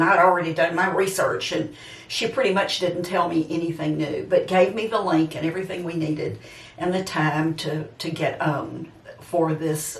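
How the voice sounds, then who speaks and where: Older woman vlogger, roomy distant sound. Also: on the low side. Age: 70s, seventy-six. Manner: chatty and rambling, addresses the listener directly. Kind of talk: casual personal chat to viewers. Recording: roomy echo, distant microphone.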